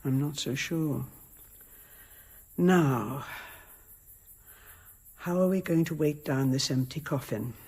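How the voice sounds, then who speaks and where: An actress playing a composed, shrewd abbess in a radio drama, speaking in a measured, formal and authoritative way. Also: female voice, low-pitched, a little mellow, slightly rough, exaggerated voice. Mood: low-key, resolute.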